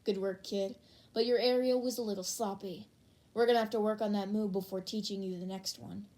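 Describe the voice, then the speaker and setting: A woman's voice: medium pitch, steady tone, fairly quick, warm tone, natural voice. Woman as a confident hero mentor. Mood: approving, brisk. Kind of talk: fan fiction audiobook character reading.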